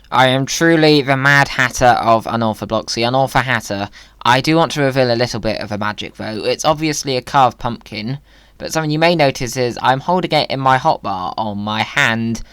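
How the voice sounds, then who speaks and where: A chatty, playful video host with an excitable, whimsical way of talking, giving gaming commentary. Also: medium pitch, smooth.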